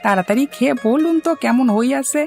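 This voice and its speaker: A woman's voice: high voice, lively intonation, quick pace, creaky, mellow, soft background music. A woman voicing an eager, boastful household servant, deferential yet self-assured, in a Bengali cartoon. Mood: proud.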